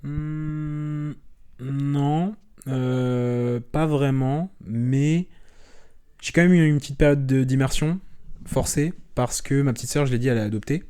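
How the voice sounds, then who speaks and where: A young French man chatting openly as an interview guest, relaxed and rambling, with frequent restarts and fillers. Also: medium pitch, slow pace, clean tone. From France. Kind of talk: casual conversational interview.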